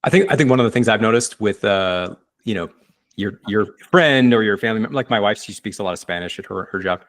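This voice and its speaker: A man's voice: fairly low pitch, quick pace, a bit bright. A man hosting a casual interview, asking loose, meandering questions. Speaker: podcast host, interviewer.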